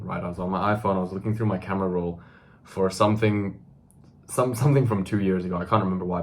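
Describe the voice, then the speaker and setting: A young man in his twenties with a very low, deep voice talks straight to the camera. He speaks earnestly and emphatically, often tagging his sentences with "right?" and pausing on fillers. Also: creaky. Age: 20s. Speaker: self-improvement video host. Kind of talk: direct-to-camera monologue.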